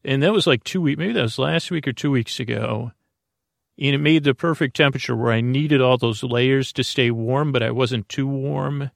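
An American man hosting a bedtime podcast, rambling along in a halting, meandering way and often trailing off mid-thought. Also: medium pitch, gravelly, dry recording, studio quality. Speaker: host of a bedtime story podcast.

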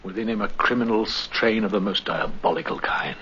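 Weary, intense British detective, old radio. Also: male voice, lowish voice, fairly quick, sharp tone. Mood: intense, dramatic. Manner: clipped, urbane, dramatic. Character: gaunt, weary, intense English detective in peril. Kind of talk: 1950s radio drama acting. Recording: muffled, noisy 1950s radio recording.

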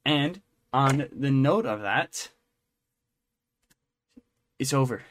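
A man's voice: medium pitch, lively intonation, extremely slow, fairly bright tone. Male podcast co-host, mid-range voice, casual rambling news chat, jokey, some noise behind. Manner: rambling, jokey, self-interrupting. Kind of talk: casual chatty entertainment news commentary.

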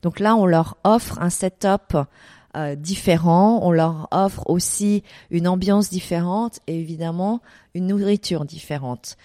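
A woman's voice: fairly low pitch, measured pace, warm tone, no room sound, clean studio sound. A middle-aged woman from Vietnam answering interview questions about her events business, hesitating often with fillers and restarts. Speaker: desert events business owner.

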